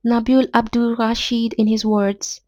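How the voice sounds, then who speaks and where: A woman's voice: fairly high pitch, little pitch movement, measured pace, darkish tone, no room sound, lo-fi sound. A young Nigerian woman with a Nigerian accent, hosting a podcast and reading a prepared literary essay in formal, academic wording. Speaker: podcast host.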